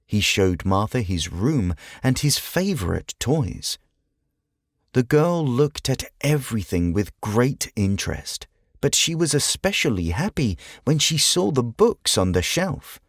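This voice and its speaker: A man narrates a children's tale in a measured storyteller's manner. His delivery is slow and his pitch moves expressively from phrase to phrase. The sound is close and dry. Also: sing-song, no echo. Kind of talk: scripted children's audiobook narration.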